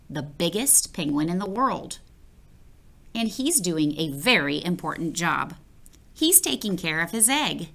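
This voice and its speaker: A woman reading a picture book aloud for children, playful and expressive, talking straight to the listener. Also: fairly low pitch, vocal fry. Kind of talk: read-aloud storytelling for children.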